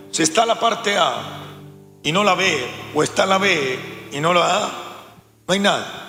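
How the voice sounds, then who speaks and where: A male preacher with a Latin American Spanish accent delivers an emphatic sermon. His voice is high and bright, with a noticeable gravelly creak. He speaks straight at his listeners, repeating key points and posing rhetorical questions. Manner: emphatic, direct address to congregation, repeats for emphasis. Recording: echoey hall, long reverb, music behind.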